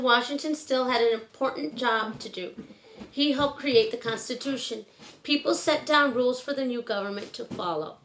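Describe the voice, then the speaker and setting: A woman reading a children's book aloud in a simple, measured storytelling manner with pauses. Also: high voice, sharp tone, low-quality recording.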